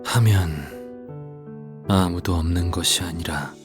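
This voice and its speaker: An actor playing a solemn, melancholy poet in a Korean game, with grave, deliberate, archaic speech. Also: male voice, deep voice. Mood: wistful. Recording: music behind the voice.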